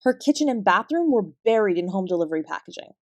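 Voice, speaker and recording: A woman with a Canadian accent playing a guarded, weary fraud investigator, giving a clipped, measured account in an audio drama. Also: mid-range voice, sing-song, no echo. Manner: measured, clipped report-like delivery. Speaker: investigator being interviewed.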